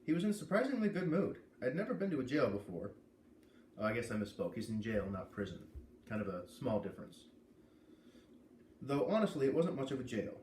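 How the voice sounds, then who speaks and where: A man doing an expressive storybook read-aloud, pausing to explain and comment as he goes. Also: medium pitch. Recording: echoey room with clear background noise.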